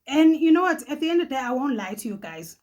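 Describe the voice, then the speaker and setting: A middle-aged woman from Johannesburg with a South African accent, hosting a casual advice vlog, chatty and quick to laugh, often tagging her sentences with "okay?". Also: high-pitched, sing-song, darkish tone. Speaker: channel host.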